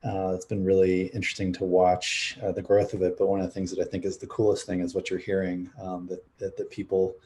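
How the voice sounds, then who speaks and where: A man introducing himself on an online panel, speaking in a relaxed, casual way. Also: deep voice, fairly quick, hardly any pauses, slight background noise. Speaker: co-founder and managing partner. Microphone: video call.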